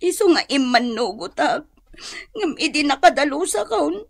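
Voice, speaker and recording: A meek, poor Ilocano wife who has been wronged, in a radio drama. Her high voice is creaky and plaintive, pleading in a dry studio sound. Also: lively intonation, moderate pace, dry recording. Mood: tearful, aggrieved.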